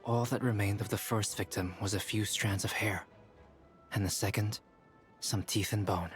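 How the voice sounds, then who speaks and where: A man voicing a composed, clinical young alchemist in a video game, measured and formal. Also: fairly low pitch, steady tone, natural voice. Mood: grave explaining. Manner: measured, matter-of-fact, formal. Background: game music.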